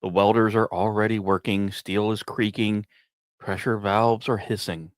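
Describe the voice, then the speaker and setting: A man hosting a podcast, reading scripted narration in a measured, dramatic storytelling way with occasional conversational asides. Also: on the low side, level tone, no echo, studio quality. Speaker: podcast host.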